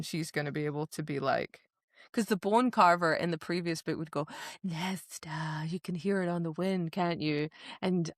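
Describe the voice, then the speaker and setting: A woman podcast host chats casually about books in a slightly low-pitched voice. She is emphatic and gushing, full of informal asides. The sound is close and dry, with little room on it. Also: dry recording. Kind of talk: casual book discussion chat.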